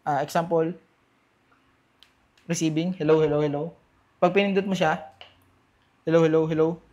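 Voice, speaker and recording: A man's voice: a little high, level tone, moderate pace, a little mellow, dull audio. A Filipino man doing casual unboxing and testing commentary as a vlogger, talking informally straight to his viewers and mixing in English. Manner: informal, addresses viewers directly, mixes Tagalog and English.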